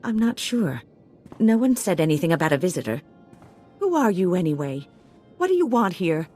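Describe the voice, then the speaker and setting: A woman's voice: on the low side, very animated, normal speed, warm tone, faint music behind. A woman playing a polite but guarded wife in a video game. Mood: hesitant, wary. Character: polite, wary wife of a crime supplier. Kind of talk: video game dialogue acting.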